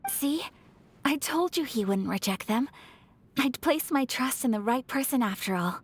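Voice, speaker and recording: A woman playing a gracious young noblewoman in a video game, speaking formally, with elegant, earnest and measured phrasing. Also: high-pitched, lively intonation, slightly rough. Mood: pleased. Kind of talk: video game character acting. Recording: music playing behind.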